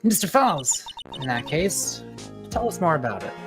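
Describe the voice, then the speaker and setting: A woman's voice: sits low, sing-song, a little slow. A woman voicing an earnest young female defense lawyer, reading video game dialogue aloud. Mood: inquisitive. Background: game music.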